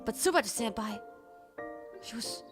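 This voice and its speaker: A woman streamer telling stories in casual chat, animated, jumping to squealed quotes. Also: a little high, normal speed, faint music behind. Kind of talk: casual chat, recapping a trip.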